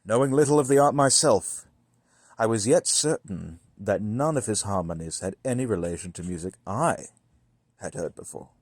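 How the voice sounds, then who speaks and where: A man reading a gothic horror story as scripted narration, in a measured, deliberate manner with dramatic pauses. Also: lowish voice, a little mellow, no echo. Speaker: audiobook-style reader.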